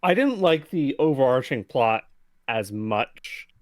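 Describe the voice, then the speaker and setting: A man's voice: medium pitch, expressive, takes it slowly, dry recording, studio quality. A man co-hosting a casual book club podcast, easygoing, laughing often. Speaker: podcast co-host.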